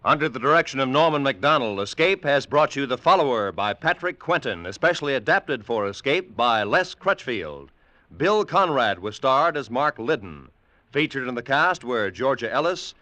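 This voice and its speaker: A man's voice: medium pitch, very animated, normal speed, bright, forceful tone, dry recording. An American man announcing scripted credits in formal 1940s radio style. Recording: old-time radio recording.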